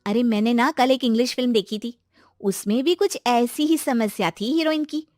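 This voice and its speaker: A woman voicing a chatty, sensible young college friend in an animated story. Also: a little high, normal speed, dry recording, clean studio sound, lightly acted. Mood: helpful.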